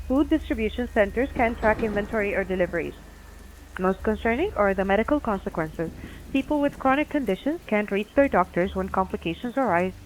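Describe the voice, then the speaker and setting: Woman acting a high-voiced emergency responder caller. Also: slight background noise, lightly acted, poor audio. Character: concerned emergency responder calling radio show. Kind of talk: scripted audio drama caller report.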